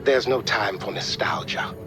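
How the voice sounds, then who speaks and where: A man's voice: medium pitch, lightly acted. Actor as formal, unsentimental male science advisor. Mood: businesslike. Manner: formal, measured, matter-of-fact. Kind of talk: video game voice acting. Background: music.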